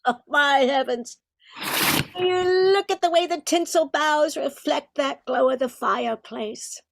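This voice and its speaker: Woman playing a high-voiced, sentimental Christmas decoration. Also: measured pace, creaky, dry recording. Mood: delighted, affectionate. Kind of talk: amateur radio play acting.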